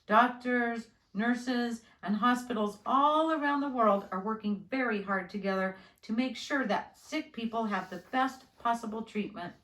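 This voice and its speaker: A woman voicing a calm, reassuring father who patiently explains things to his child in a storybook. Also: a little high, unhurried, hardly any pauses. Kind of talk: children's storybook read-aloud. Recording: roomy, echoing indoor sound.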